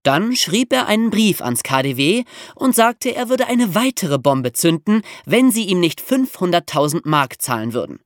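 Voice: mid-range voice, big pitch swings, moderate pace, no echo, studio quality. A podcast host telling a story in a colloquial, conversational way, with rhetorical questions. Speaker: podcast host telling true crime stories.

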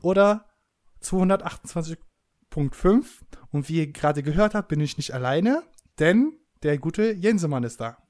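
A man's voice: fairly high pitch, expressive, moderate pace, darkish tone, dry recording, studio quality. A man hosting a podcast, joking and playful in casual chat. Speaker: podcast host.

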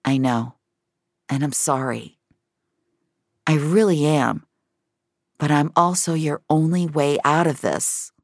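A woman's voice: low voice, takes it slowly, long pauses, dry recording, studio quality, heavily acted. A woman playing a tough, desperate agent on the run in an audio drama, urgent and defiant. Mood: guilty, earnest. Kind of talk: dramatic audio fiction acting.

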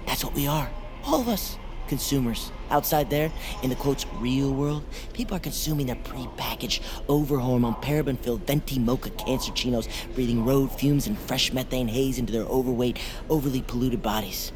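A man's voice: medium pitch, brisk, close to an everyday voice. An actor playing a paranoid male patient who rants emphatically in an audio drama, with music behind. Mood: paranoid, preachy. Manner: preachy, emphatic. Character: paranoid, ranting male patient with conspiracy theories.

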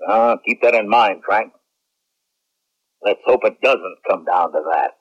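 A man playing a steady, encouraging military general in a science fiction radio drama, with an authoritative, measured manner. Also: lowish voice. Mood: concerned, measured. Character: male commanding general, steady, encouraging and cautious. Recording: muffled 1970s radio recording.

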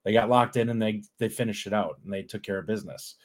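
A man's voice: on the low side, fast. A man co-hosting a sports podcast, giving earnest game analysis in a casual, restarting way. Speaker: podcast co-host.

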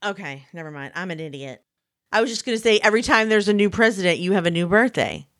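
A woman in her forties with a mid-range voice co-hosts a casual conversation. She speaks in an animated, chatty way and pokes fun at herself. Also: mid-range voice, quick pace. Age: 40s. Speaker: podcast co-host. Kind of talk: casual chat.

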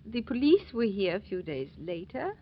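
An actress playing a fretful, forgetful old aunt in a 1940s radio drama, speaking in a hesitant, apologetic way. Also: female voice, mid-range voice, sing-song, moderate pace. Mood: flustered, earnest. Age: older. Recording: dull, noisy old 1940s radio recording.

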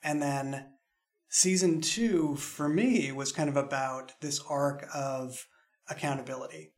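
Higher-voiced man, thoughtful creator talk. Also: takes it slowly. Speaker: audio drama creator. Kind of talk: reflective discussion of own writing.

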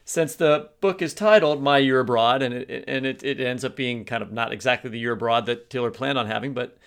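A man's voice: mid-range voice, a little fast, fairly bright tone, clean tone. A man co-hosting a podcast, speaking in a conversational interview style. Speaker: podcast co-host.

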